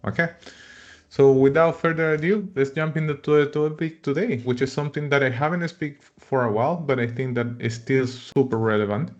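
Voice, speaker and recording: A man's voice: mid-range voice, fairly quick. Man from Chile hosting a webinar, lo-fi. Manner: friendly, non-native phrasing with frequent fillers. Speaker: webinar host. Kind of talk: live webinar presentation.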